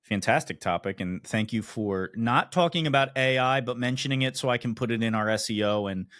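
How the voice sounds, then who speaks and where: A man's voice: mid-range voice, a little fast, a bit bright, no room sound, studio quality. A man hosting a podcast, easygoing and reflective as he steers the talk. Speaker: podcast host. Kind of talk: casual discussion.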